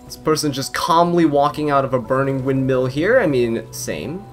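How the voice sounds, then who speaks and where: Young guy doing chatty game commentary, really lively pitch, faint music behind. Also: moderate pace. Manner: chatty, amused, given to tangents. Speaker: gaming video creator. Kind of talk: casual gameplay commentary. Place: voice-over recorded apart, game music behind.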